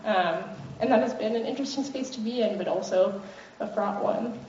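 A woman on a panel speaks in a thoughtful, measured way, pausing often to gather her words. She sits well back from the microphone, so her voice sounds distant and muffled. A faint hiss hangs in the roomy space. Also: fairly high pitch, a little mellow. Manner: thoughtful, measured, frequent ums. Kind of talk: reflective panel talk.

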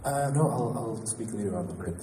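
A pianist and composer from Quebec with a Québécois French accent, introducing his show in a halting, casual way with restarts. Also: fairly low pitch, a crowd in the background. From Quebec, Canada. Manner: halting, with restarts and light laughs. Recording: echoey hall from far off, noisy.

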